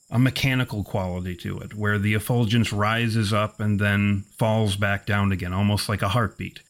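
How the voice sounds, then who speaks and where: A man acting as game master, narrating a spooky tabletop roleplaying game in ornate, deliberate wording. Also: fairly low pitch.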